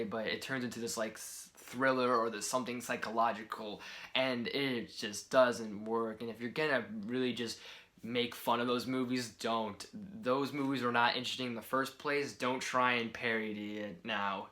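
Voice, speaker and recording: A man giving casual film-ranking commentary in a soft, near-whispered delivery, rambling and exasperated with many fillers. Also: mid-range voice. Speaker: movie reviewer.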